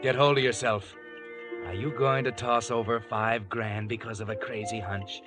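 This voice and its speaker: An actor playing a brooding, insistent mystery narrator in 1940s radio drama style. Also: male voice, fairly low pitch, steady tone, normal speed, natural voice. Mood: needling, insistent. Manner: brooding, insistent, addresses the character directly. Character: ominous, needling male mystery narrator. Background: dramatic music.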